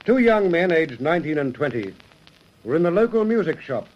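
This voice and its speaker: An actor playing a serious storyteller, giving scripted narration for a detective radio drama. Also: male voice, on the high side, sing-song, fairly quick. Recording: dull radio drama recording.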